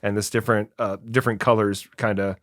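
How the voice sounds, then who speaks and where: A man's voice: fairly low pitch, flat delivery, quick pace, no echo, clean studio sound. A man chatting casually as the host of an interview.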